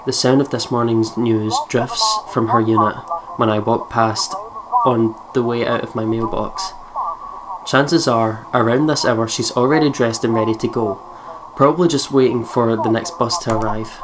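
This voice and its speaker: A woman's voice: rumbling low, fairly even intonation, normal speed, noisy background. A Scottish woman hosting a let's play, chatting loosely and swearing as she goes. She laughs a lot and reads the game's text aloud in between her comments. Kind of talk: casual gameplay commentary and reading aloud.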